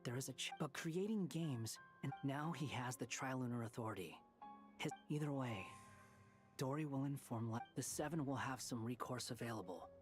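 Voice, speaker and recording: A man's voice: medium pitch, normal speed, music in the background, lightly acted. Man voicing a cool, calculating young game schemer. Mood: cool, wry. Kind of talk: video game voice acting.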